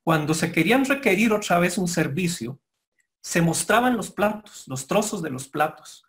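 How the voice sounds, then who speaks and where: A man giving a formal lecture to members, speaking in a measured, explanatory way with pauses and self-corrections. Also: sits high, expressive, a bit bright. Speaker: guest lecturer.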